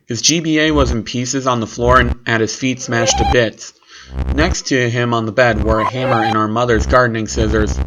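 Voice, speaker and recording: Man narrating a story over clear noise. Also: mid-range voice. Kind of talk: scripted horror story narration in first person. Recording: clearly noisy recording with clicks.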